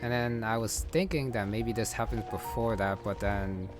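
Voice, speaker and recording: Low-voiced man musing over gameplay. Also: moderate pace. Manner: musing, hesitant, thinking aloud. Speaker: gamer commentating on playthrough. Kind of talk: casual gameplay commentary. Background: game music.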